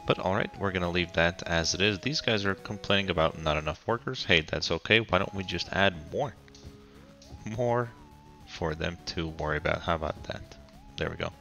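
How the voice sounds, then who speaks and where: Deep, raspy man with a cold. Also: faint music behind. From Colorado. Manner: relaxed, halting, frequent ums and restarts. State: getting over a cold. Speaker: game commentator. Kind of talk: casual gameplay commentary.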